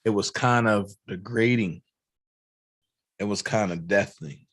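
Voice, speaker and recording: A hoarse man hosting a livestream, chatting casually and hyping up shout-outs to fellow channels. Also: fairly low pitch, unhurried, no room sound, clean studio sound. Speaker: livestream host.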